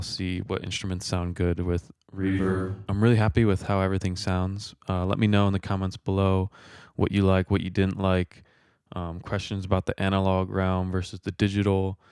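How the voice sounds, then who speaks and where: A man in his twenties talking casually and low-key as he explains his home recording setup into a close mic. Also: a little mellow, clean tone, no room sound. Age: 20s. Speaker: musician and host of a home recording video. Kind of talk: casual explanatory vlog talk. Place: small home studio room, indoors. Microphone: close microphone, handheld or on a stand.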